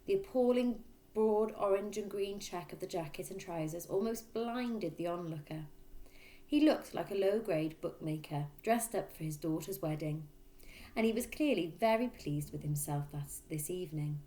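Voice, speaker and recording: A woman reading a children's book aloud, in expressive, emphatic storyteller narration. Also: fairly low pitch, moderate pace. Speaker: storybook reader, teacher.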